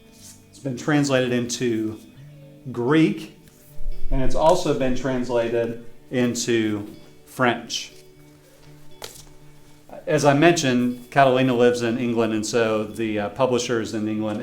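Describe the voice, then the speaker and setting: A friendly man reads a children's story in an even, middle-pitched voice and pauses to put questions to young listeners. The room around him is roomy and echoey. A faint guitar plays behind him. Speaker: professor reading his own children's book. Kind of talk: reading a children's story aloud.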